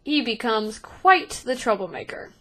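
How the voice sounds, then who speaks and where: A woman's voice: on the high side, lively intonation, measured pace, pushed and bright, exaggerated voice. A woman voicing a tall half-elf male guard captain in a tabletop game, speaking with dry, clipped formality and wry remarks.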